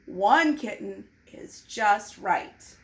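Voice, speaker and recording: A woman voicing an agreeable, polite male shopkeeper in a storybook, with courteous, measured short sentences. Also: medium pitch, animated, fairly bright tone, heavily acted. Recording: noticeable background noise.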